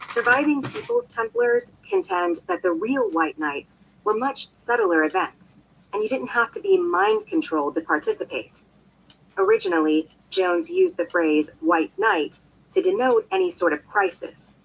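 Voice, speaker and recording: Expressive female audiobook narrator, lively pitch swings. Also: moderate pace, gravelly. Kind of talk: scripted nonfiction audiobook narration. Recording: poor amateur copy, faint background noise.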